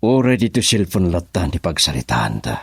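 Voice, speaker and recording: Very deep gravelly older man. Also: very animated, moderate pace, exaggerated voice. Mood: pleading. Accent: Filipino, Ilocano. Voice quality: gravelly, husky. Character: older man pressing an old flame to talk. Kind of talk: radio drama acting.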